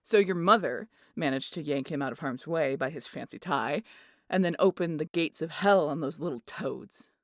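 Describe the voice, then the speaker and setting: A woman's voice: deep voice, moderate pace, dry recording, clean studio sound. A woman voicing a brash, teasing family friend who tells stories in a salty way in an audio roleplay. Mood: storytelling. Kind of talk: audio roleplay acting, animated storytelling.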